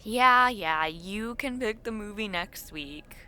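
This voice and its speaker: Young woman as a female college friend for audio roleplay: bright, crisp-edged voice, chatty, sentimental, gently teasing. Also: mid-range voice, expressive, slow pace. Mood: easygoing, playful. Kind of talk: intimate audio roleplay, casual one-sided chat.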